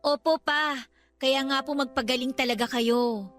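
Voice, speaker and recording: An actress playing a devoted young adult Filipino daughter, gentle, respectful and reassuring, in a radio drama. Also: female voice, sits high, steady tone, moderate pace, faint music behind. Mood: loving, reassuring. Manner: caring, respectful. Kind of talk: Tagalog radio drama acting.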